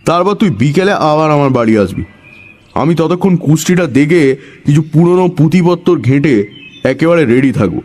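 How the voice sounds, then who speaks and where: A man's voice: fairly high pitch, expressive, moderate pace, a little mellow, voice pushed up. A man playing an eccentric, bossy village know-it-all in an audio story, with a scolding, self-assured, colloquial manner. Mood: bossy, scheming.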